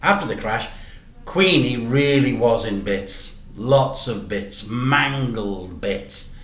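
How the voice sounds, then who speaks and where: A man reading a children's story aloud in an animated, deliberate way with dramatic emphasis. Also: medium pitch. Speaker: teacher reading stories to children. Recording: echoey room.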